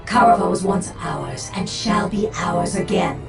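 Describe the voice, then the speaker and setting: Video game alien seer , female actor: proud, cryptic, measured and theatrical, echoey sound over music. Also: on the low side, close to an everyday voice. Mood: proud, resolute. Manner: stately, measured, theatrical delivery. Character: solemn, proud alien Eldar farseer, cryptic war leader. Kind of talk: dramatic video game acting. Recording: echoing, distant, music behind.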